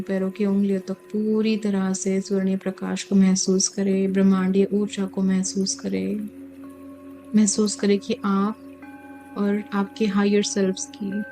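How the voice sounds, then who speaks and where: A woman leading a guided meditation as a teacher, speaking calmly in short, measured phrases with pauses. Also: mid-range voice. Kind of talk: guided meditation, slow soothing instruction. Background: music.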